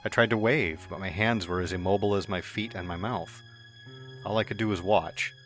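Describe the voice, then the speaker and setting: Male narrator, deep voice, earnest first-person reading over faint music. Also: a little fast, fairly bright tone. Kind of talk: fan audiobook reading. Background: faint background music.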